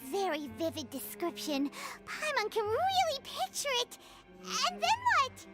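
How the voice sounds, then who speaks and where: A chirpy little floating fairy companion from a video game, with an extremely high, squeaky voice that leaps up and down with excitement. She fires off eager questions and talks about herself in the third person. Game soundtrack music plays clearly behind her. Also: a little slow, heavily acted. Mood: curious. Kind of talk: video game cutscene dialogue.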